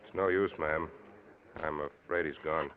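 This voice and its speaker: A man's voice: rumbling low, steady tone, unhurried, phone-quality sound. An actor playing a kindly, folksy motorcycle policeman with a plainspoken, reassuring manner in an old-time radio mystery. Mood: sympathetic. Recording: thin, noisy old radio recording.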